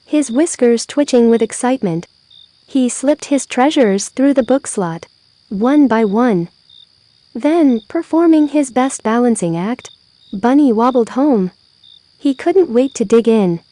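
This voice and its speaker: A woman reads a children's storybook in an expressive, carefully phrased way. Her voice sits a little high yet has a very mellow, rounded tone. The recording is dry, with hardly any room around her. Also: a little slow, no echo, low-quality recording. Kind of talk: scripted storybook narration read aloud.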